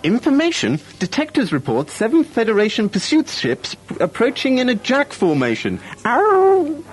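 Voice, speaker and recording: A man performs a small, squeaky robot computer for a sci-fi skit. The voice jumps high and delivers reports in clipped, officious phrases. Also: wildly swooping pitch, moderate pace. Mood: officious alert.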